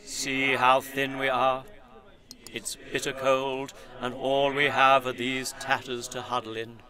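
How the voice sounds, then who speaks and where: An actor playing a world-weary Christmas spirit in an audio play, earnest, reproachful and pleading. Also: male voice, medium pitch, little pitch movement, takes it slowly, a crowd in the background, close to an everyday voice. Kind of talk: scripted audio play acting.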